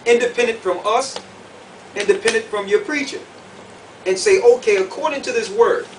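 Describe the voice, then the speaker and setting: A man with a high-pitched voice teaches like a preacher at a conference, driving points home with repetition and questions. The microphone sits well away from him, and clear background noise runs under his words. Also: normal speed. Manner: emphatic, repeats phrases, puts questions to listeners. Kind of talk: sermon, conference teaching to a group. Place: conference room with an audience. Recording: distant mic, clearly noisy room recording.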